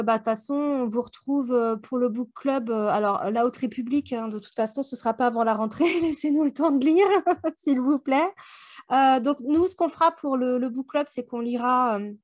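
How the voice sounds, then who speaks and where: A woman in relaxed, informal fan discussion as a book club co-host, speaking slangily. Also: sits high, moderate pace. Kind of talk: casual chat, fan discussion.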